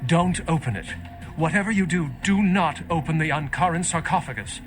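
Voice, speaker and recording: An actor playing a cautious, learned vampire scholar in a video game, speaking in an earnest, measured, erudite way. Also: male voice, a little high, music in the background, close to an everyday voice. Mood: alarmed.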